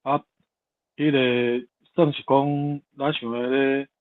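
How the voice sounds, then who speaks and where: A man's voice: mid-range voice, level tone, takes it slowly, narrow, tinny audio. A man with a Taiwanese accent explaining casually as a discussion participant, over thin call audio.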